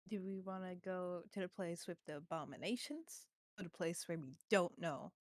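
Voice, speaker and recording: A woman's voice: fairly low pitch, normal speed, dry recording, clean studio sound. A woman playing a tabletop game, talking casually. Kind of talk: casual chat.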